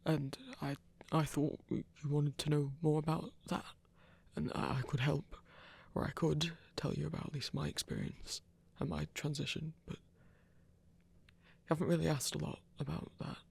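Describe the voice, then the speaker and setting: A performer playing a lonely, self-doubting werewolf in an intimate audio roleplay, gentle and awkward, with a stammering, hesitant delivery. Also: medium pitch, steady tone, unhurried, creaky, slightly rough, heavily acted. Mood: flustered, unsure.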